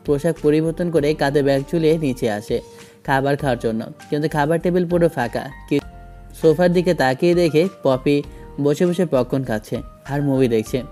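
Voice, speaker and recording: A man's voice: fairly high pitch, fairly quick, mellow, faint music behind. A man narrating a first-person romantic story in a dramatic scripted style.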